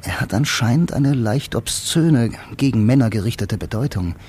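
A man's voice: mid-range voice, lively intonation, normal speed, darkish tone, slight background noise, lightly acted. An actor playing a blind bank clerk who narrates his own story in a dry, laconic, musing way for a radio drama. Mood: brooding. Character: blind male bank clerk, wry film noir loner.

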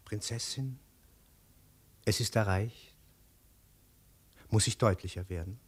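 An actor playing an ambitious, calculating imperial chancellor who fawns and insinuates in measured speech, in 1950s radio drama style. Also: male voice, on the low side, breathy edge. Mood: deferential, sly. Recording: 1950s radio studio recording.

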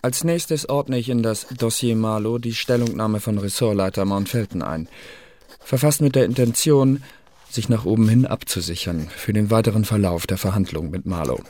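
An actor playing a meticulous, matter-of-fact record keeper filing dossiers in a radio play. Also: male voice, lowish voice, normal speed, darkish tone, slight background noise, close to an everyday voice. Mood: dryly officious.

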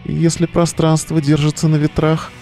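A man's voice: a little high, monotone, moderate pace, mellow, soft background music, natural voice. A male actor playing a weary, sardonic bohemian in an audio play, delivering a verse monologue over the phone in an ironic, declamatory way. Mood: bitter, ironic.

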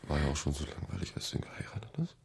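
An actor playing a smooth divorced colleague and hesitant secret lover, suggestive and halting, in a radio crime drama. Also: male voice, extremely low pitch, expressive, darkish tone, heavily acted. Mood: uneasy, intimate.

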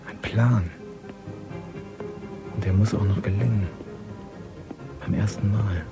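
An actor playing a cold, brooding killer plotting to himself in a radio drama monologue. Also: male voice, fairly low pitch, steady tone, slow pace, music in the background. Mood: brooding, resolved.

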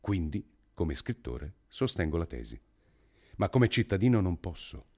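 A man playing an earnest writer who gives a formal public speech in a measured, deliberate, rhetorical way. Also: deep voice, sing-song, warm tone, breathy edge, full character voice. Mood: solemn, earnest. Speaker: actor reading a writer's speech. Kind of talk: declamatory dramatic monologue, formal speech.

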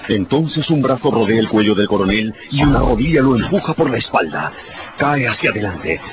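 A man's voice: mid-range voice, animated, brisk, noisy background. A man narrating a scripted story for radio in a dramatic storytelling style. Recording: dull sound with music behind.

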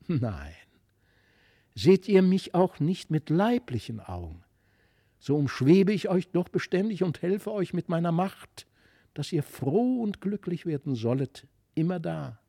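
Man voicing a slow, tender fairy child. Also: sits high, sing-song, mellow, husky, dry recording, clean studio sound, voice pushed up, heavily acted. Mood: tender, consoling. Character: gentle radiant fairy child, tender and consoling.

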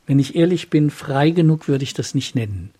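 Older gentleman, earnest and circumspect, very breathy husky, mellow voice, radio drama. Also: a little high, lively intonation. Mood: earnest, troubled. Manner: halting, circumspect, formal. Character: earnest, troubled older gentleman seeking companionship. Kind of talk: improvised conversational radio drama.

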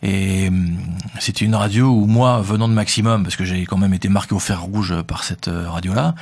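A man with a Parisian accent, a radio host interviewed about his career, talking quickly with many fillers. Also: low-pitched, steady tone, a little mellow, husky. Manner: fast, hesitant with many fillers. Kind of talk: conversational interview.

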